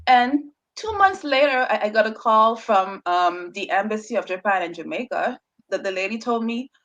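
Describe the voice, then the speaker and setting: A woman's voice: medium pitch, lively intonation, normal speed, a bit bright, highs cut off. A woman researcher, a guest on an interview show, telling her story casually with a stutter, repeated words and frequent laughs. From Bahamas.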